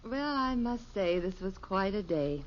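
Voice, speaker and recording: An actress playing a gentle, wry middle-aged American wife and mother in a 1950s radio sitcom, polite and unruffled. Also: mid-range voice, lively intonation, measured pace, natural voice. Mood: gently wry. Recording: dull vintage radio recording.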